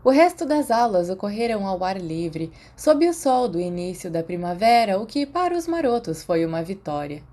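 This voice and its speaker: A woman's voice: mid-range voice, big pitch swings, moderate pace, few pauses, mellow. A woman with a Brazilian accent reading scripted fiction as an audiobook narrator. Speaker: podfic narrator.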